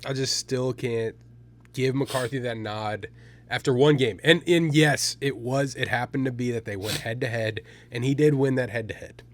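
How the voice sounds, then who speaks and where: A man's voice: medium pitch, steady tone, moderate pace. Hesitant young man talking football. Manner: hesitant, repeats words when starting. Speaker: podcast co-host. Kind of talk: casual sports debate.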